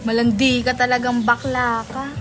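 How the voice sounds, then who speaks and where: A woman's voice: on the high side, level tone, normal speed, music in the background, close to an everyday voice. A woman acting a sassy, tattling teenage schoolgirl with a Filipino accent in a comedic radio drama, with a pointed, teasing manner.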